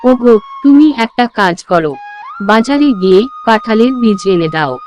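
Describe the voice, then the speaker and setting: A woman voices a dramatized cartoon story. Her pitch is average, and soft music plays underneath.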